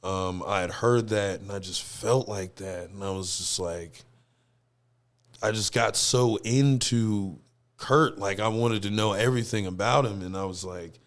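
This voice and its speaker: A man with a fairly deep, raspy voice speaks as a guest musician in a relaxed podcast conversation. He tells his stories in an animated, rambling way, with casual phrasing. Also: measured pace.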